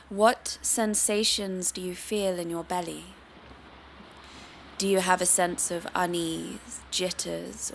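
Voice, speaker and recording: A woman teaching yoga meditation, guiding the listener in a calm, soothing, unhurried manner. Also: medium pitch, gravelly, a little hiss or hum. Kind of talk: guided meditation.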